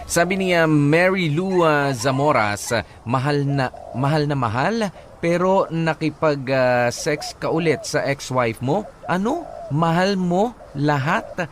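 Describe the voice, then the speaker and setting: A man with a Filipino accent co-hosting a radio advice show, talking casually with many halting pauses and some English. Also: on the high side, expressive, a little hiss or hum. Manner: halting, filler-heavy, mixes in English. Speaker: radio co-host. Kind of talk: casual radio advice talk, reading listener comments.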